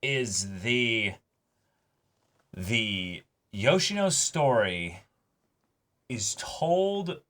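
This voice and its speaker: A man's voice: medium pitch, lively intonation, very slow, pauses often, fairly bright tone. A man hosting a reaction show, discussing an episode casually and earnestly, often searching for his words.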